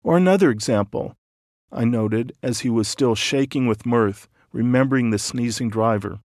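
A man narrating an audiobook, calm and measured, explaining ideas patiently. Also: mid-range voice, no echo, studio quality.

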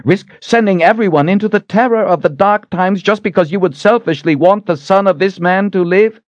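A menacing witch woman from a fantasy audiobook, acted out by a man. She is scornful and commanding, throwing out threats and accusations with wildly swinging pitch. The recording is close, dry and slightly muffled. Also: animated, moderate pace, dark tone, dry recording. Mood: screaming fury. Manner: hissing threats, accusing rhetorical questions.

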